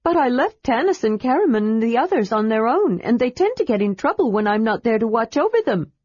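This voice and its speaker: A woman's voice: fairly high pitch, sing-song, a little fast, darkish tone, husky, dry recording, highs cut off, pitched above the performer's own voice. A woman voicing a small, cheerful and curious kender who rambles eagerly.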